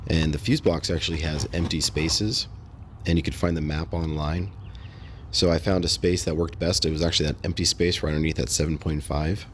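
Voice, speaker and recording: A man casually narrating a how-to vlog in a halting, unscripted, matter-of-fact way. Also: a bass voice, steady tone, slight background noise. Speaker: host of a DIY install vlog.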